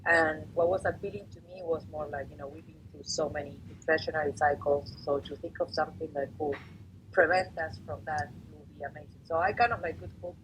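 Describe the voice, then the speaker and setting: A woman from Argentina speaks with a clear Argentine accent in a relaxed, conversational way, starting over now and then mid-sentence. She is a corporate attorney joining a panel. A steady background hiss runs under her voice. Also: sits low, brisk. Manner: relaxed, with restarts and filler words. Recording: noisy, hissy recording.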